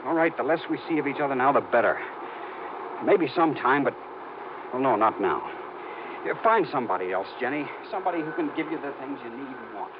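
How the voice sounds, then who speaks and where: Guy playing a resentful young man in an old radio play, lively pitch, hissy phone-thin sound. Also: brisk, natural voice. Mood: resigned. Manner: resentful, defensive, proud. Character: proud bitter young man, Jenny's old flame. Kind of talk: 1950s radio drama acting. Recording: tinny noisy old radio broadcast.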